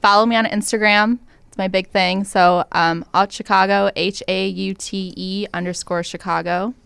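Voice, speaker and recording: A woman guesting as a realtor on a casual talk show, upbeat and breezy. Her voice is smooth and resonant with some gravelly fry, and it is recorded dry. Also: mid-range voice, fairly even intonation, normal speed, fairly bright tone, no echo. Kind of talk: casual chat.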